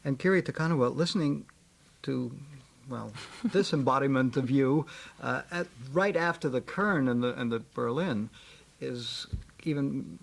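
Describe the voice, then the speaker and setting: Radio interview guy, gravelly voice, loose rambling questions, lots of mm-hmms. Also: medium pitch, a little slow. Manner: chatty, rambling questions with frequent murmured agreement.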